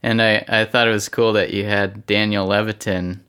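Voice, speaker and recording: A man hosting an interview, asking relaxed, slightly hesitant questions and murmuring along. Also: lowish voice, normal speed, smooth. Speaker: radio interviewer host.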